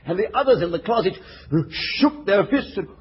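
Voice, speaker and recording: A fussy, panicky old gent. The voice is high and very raspy, with wide pitch swings, in a dull old radio recording. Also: male voice, expressive, fairly quick, full character voice. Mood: shaken. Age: older. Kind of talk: 1940s radio comedy acting.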